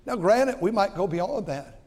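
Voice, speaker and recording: A man in his sixties preaching a sermon in a folksy way, repeating words and swinging from loud to quiet. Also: high-pitched, animated, a little slow, big-room sound. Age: 60s. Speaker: pastor.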